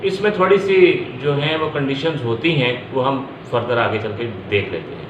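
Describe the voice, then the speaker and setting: Pakistani guy teaching law, medium pitch, goes over every point again, roomy echo, bit of background noise. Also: normal speed, dull audio. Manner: repetitive, step-by-step explaining, rephrasing each point. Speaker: law lecturer. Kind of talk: explanatory lecture mixing in English legal terms. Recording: echoey room, faint background noise.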